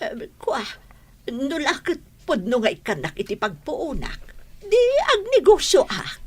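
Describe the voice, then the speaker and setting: A woman acting a shifty, wheedling older Ilocano mother in a radio drama, stammering out excuses in a raspy voice with an Ilocano accent. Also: high-pitched, huge pitch swings, measured pace, exaggerated voice. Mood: flustered, evasive. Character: wheedling, shifty older gambling mother, always short of money.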